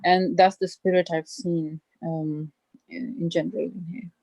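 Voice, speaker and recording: A woman from northern Pakistan speaks English; her is Burushaski. She answers questions modestly, halting with many fillers, in a low, mellow voice. The audio is dry and lo-fi. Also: little pitch movement, creaky, no echo. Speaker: guest lecturer.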